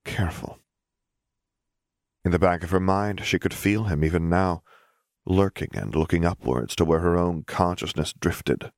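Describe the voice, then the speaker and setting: A man reading a scripted story as an audiobook narrator, measured and dramatic. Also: deep voice, creaky, no room sound, clean studio sound.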